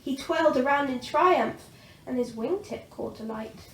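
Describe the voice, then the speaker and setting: A woman storyteller giving an expressive reading of a children's picture book. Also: high-pitched, normal speed. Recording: roomy, distant mic, faint noise.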